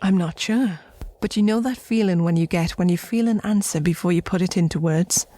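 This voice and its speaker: A woman playing an earnest, reflective alien woman in an audio drama, speaking in a measured, articulate and solemn way. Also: fairly low pitch, mellow, close to an everyday voice. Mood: curious, thoughtful. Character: earnest, reflective alien woman revering her people's ancient past.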